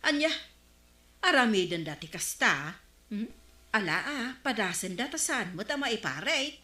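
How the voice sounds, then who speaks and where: Casting: touchy Ilocano councilwoman in radio comedy, bright voice with big swings in pitch, echoey room. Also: sing-song, a little slow. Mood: questioning. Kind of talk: Filipino comedy radio drama acting. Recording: roomy, echoing studio sound.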